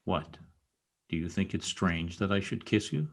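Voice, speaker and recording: A man plays a spurned, vengeful lover in an old-time radio drama. His voice is on the low side, and his delivery is formal, theatrical and intense, full of brooding bitterness. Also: animated, moderate pace. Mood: wooing, frank. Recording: remote video-call recording.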